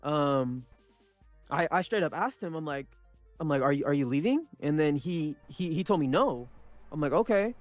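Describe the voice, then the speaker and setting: A man's voice: a little high, lively intonation, moderate pace, dry recording, faint music behind. A young man, Punjabi-speaking by birth, telling a story in a casual podcast chat, with frequent restarts and swearing. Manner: rambling, many restarts and swearing. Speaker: podcast co-host.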